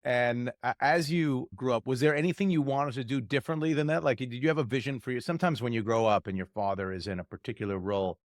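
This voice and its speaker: Male co-host from New Jersey, medium pitch, dry recording; curious, playful follow-ups. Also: fast, hardly any pauses, no echo. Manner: curious, joking follow-up questions. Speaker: podcast co-host. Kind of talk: casual interview conversation.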